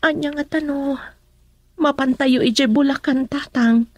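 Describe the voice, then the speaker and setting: A young Ilocano daughter in a radio drama, gentle and devoted to her father. Her voice sits very high, and the sound is dry, with no room around it. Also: fairly even intonation, unhurried, no echo. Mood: pleading, gentle.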